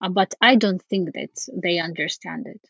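A woman with a Ukrainian accent, a foundation head giving earnest, halting interview answers with many fillers. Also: on the low side, creaky, fairly bright tone, slightly rough, no echo, lo-fi sound. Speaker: foundation CEO, guest.

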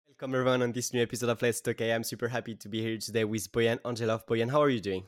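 A man's voice: medium pitch, steady tone, rapid-fire, no echo. A man hosting a podcast, asking questions in a casual interview, with many fillers and restarts. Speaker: podcast host.

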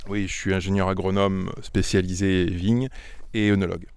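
Middle-aged man from Auvergne, low gravelly voice, dry close sound, unhurried explainer. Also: level tone, a little mellow, no echo. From Auvergne, France. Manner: thoughtful, repeats words while explaining. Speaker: winemaker. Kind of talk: casual interview. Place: outdoors among vineyards.

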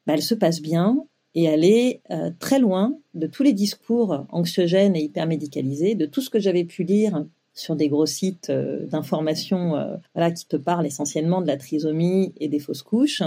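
Hesitant woman in her forties, mellow voice. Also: fairly low pitch, normal speed, slightly rough. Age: 40s. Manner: hesitant, many fillers and restarts. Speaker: guest, web publisher. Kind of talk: casual interview storytelling.

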